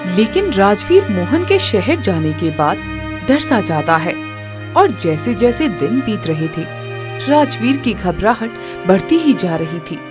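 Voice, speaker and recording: A woman narrating a cartoon moral story in an expressive storytelling manner. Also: mid-range voice, a little slow, over music.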